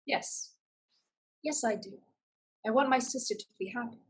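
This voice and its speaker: Woman playing a tough protective big sister, bit high and raspy, blunt, stage play reading. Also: normal speed. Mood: protective, stern. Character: fierce, protective elder sister, shrewd pirate woman.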